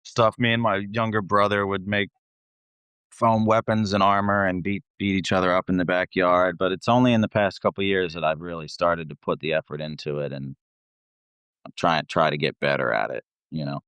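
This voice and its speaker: A man's voice: deep voice, fairly even intonation, normal speed, fairly bright tone, clear, resonant tone, no room sound. A man chatting casually as an interview guest, rambling and self-deprecating, with some swearing. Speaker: guest, metal band vocalist. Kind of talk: casual chat.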